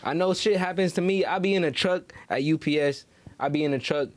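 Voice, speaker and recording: A man's voice: fairly high pitch, brisk. A man in casual podcast conversation, talking in slangy, profane phrases that he repeats for emphasis. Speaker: podcast co-host. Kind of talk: casual chat.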